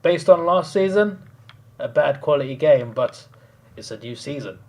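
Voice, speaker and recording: A man chatting casually on a live stream, hoarse, rambling and laughing often. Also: a little high, animated, normal speed, a little mellow.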